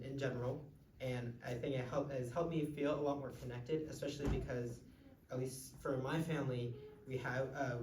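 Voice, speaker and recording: Young man, halting, echoey hall. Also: mid-range voice, a little mellow. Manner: halting, many "like" fillers. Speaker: panelist. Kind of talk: answering at a live panel. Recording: echoey room, distant mic, clear background noise.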